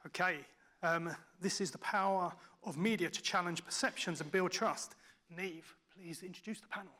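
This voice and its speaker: A man's voice: sits high, moderate pace, pushed and bright. A man hosting a live conference session, speaking a little hesitantly between introductions. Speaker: event host introducing sessions. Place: conference stage.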